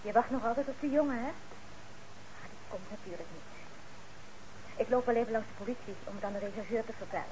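An actress playing a suspicious, sharp-tongued daughter of a shopkeeper in a Dutch radio play, blunt and disapproving. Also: female voice, on the high side, quick pace, long pauses, lightly acted. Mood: suspicious, scornful. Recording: dull radio play recording, faint noise.

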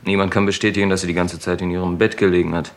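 Fast, low-voiced junior detective. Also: male voice, little pitch movement. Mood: eager, factual. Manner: matter-of-fact, reporting. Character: eager male junior detective reporting to his chief. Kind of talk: German radio crime drama acting.

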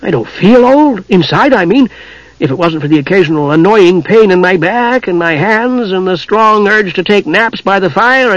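A man's voice: sits high, dry recording, lo-fi sound, voice pushed up. A man voicing a small, naive, chatty kender adventurer who rambles eagerly, in a fantasy story reading. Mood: indignant, rambling.

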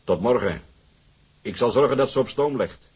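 An actor playing a proud, blunt old sea captain in a radio drama, gruff and insistent. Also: male voice, mid-range voice, moderate pace, a little mellow. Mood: proud, brooding. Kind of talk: Dutch radio drama acting.

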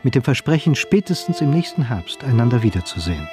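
A man's voice: mid-range voice, big pitch swings, fast talker, music in the background. A man giving scripted literary narration as the narrator of a radio drama.

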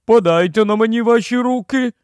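A man's voice: very high-pitched, fairly quick, a little mellow, dry recording, clean studio sound, voice pushed up. A man voicing a stately, courteous giant king in a storybook, speaking formally with regal measure. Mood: regal welcome. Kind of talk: acted audiobook storytelling.

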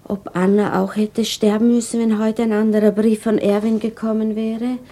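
An actress playing an anxious, brooding woman in a radio drama, thinking aloud in a hushed, halting inner monologue. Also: mid-range voice, flat delivery, close to an everyday voice. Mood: brooding, bewildered. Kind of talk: 1960s German radio drama acting.

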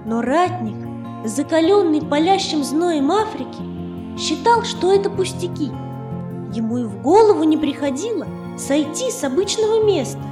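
A woman narrating a fairy tale for children in a measured, expressive storytelling manner. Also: high-pitched. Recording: roomy echo, faint music underneath. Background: faint music.

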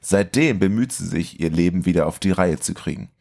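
A man's voice: sits low, expressive, fairly quick, gravelly, a little mellow, slightly rough. A man co-hosting a film podcast in a casual, chatty style. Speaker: podcast co-host.

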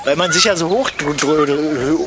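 Casting: male actor as lazy contrarian loafer, drawling and mock-pompous, fairly high voice, comic radio play with outdoor noise behind. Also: normal speed, lightly acted. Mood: languid. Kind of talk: scripted comic audio drama in casual German dialogue.

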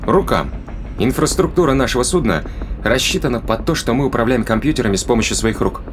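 A man's voice: medium pitch, animated, fast, music in the background, close to an everyday voice. A man playing a sardonic, reasoning spaceship crewman in an audio drama, explaining things in a colloquial way. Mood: wry, explanatory.

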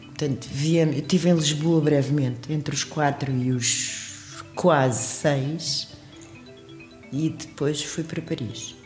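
An older woman with a very low voice tells stories from her past in a lively, laughing manner. Her voice rings in an echoey room, with soft music underneath. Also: slow. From Mozambique. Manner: lively storytelling, laughs often, shifts pitch when quoting. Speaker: guest singer. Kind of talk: reminiscing interview talk.

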